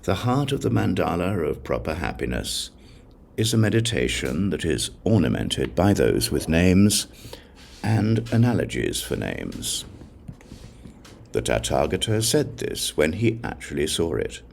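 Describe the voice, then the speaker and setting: A man reading a religious text as an audiobook narrator, in a solemn, measured recitation. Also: sits low, sing-song, creaky, a little mellow, a little hiss or hum. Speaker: audiobook reader.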